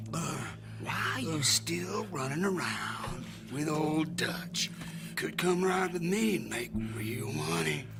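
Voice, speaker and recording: Western game villain: older American gang leader, sly drawl, taunting, lightish pitch, very animated melody. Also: male voice, vocal fry, full character voice. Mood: gloating. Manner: drawling, mockingly friendly, menacing. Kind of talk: cinematic video game acting.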